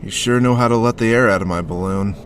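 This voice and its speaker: A man's voice: lowish voice, fast, reverberant, slight background noise, natural voice. An actor playing a sardonic, world-weary man, wry and sarcastic, narrating a noir audio drama in the first person.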